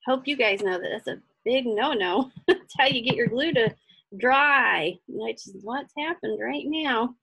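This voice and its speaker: A woman's voice: a little high, a little slow, gravelly, a bit bright, breathy edge, low-quality recording. A woman giving a casual step-by-step craft tutorial, chatty and encouraging, thinking aloud as she works. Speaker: craft instructor.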